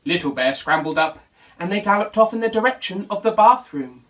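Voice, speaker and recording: A man reading a children's bedtime story as an expressive narrator. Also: high voice, fairly quick. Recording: voice distant from the mic.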